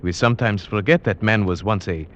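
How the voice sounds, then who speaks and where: An actor playing a sober, thoughtful man who narrates a werewolf tale in a measured, grave 1940s radio drama style. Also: on the low side, dull audio, lightly acted. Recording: old radio drama with music behind.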